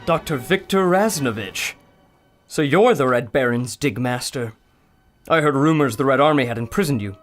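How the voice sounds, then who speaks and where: Brief: actor voicing steadfast doctor hero, mid pitch, plain and resolute, soft score under. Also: male voice, lively intonation, normal speed, faint music behind, close to an everyday voice. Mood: firm defiance. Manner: earnest, firm, defiant. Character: principled male adventurer scientist, the doctor. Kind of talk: pulp adventure audio drama.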